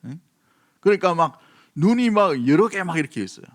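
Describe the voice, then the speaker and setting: A man's voice: fairly high pitch, big pitch swings, fairly quick. Emphatic Korean male preacher. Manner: emphatic, repeats key phrases, questions the congregation. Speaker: pastor preaching. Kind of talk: sermon.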